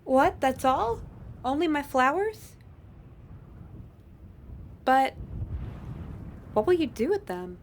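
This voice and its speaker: A shy, humble young flower girl from a fantasy roleplay, played by a woman. Her voice sits a little high, and she speaks softly and politely with quaint, old-fashioned phrasing. Her manner stays gentle and grateful. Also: very animated, slow pace, pauses often, slight background noise, full character voice.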